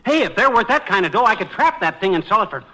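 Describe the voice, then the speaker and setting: An actor playing an excitable, blustery husband, folksy and emphatic, in a 1940s radio comedy. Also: male voice, sits high, quick pace, heavily acted, low-quality recording. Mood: blustery, excited. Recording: old radio recording with hiss.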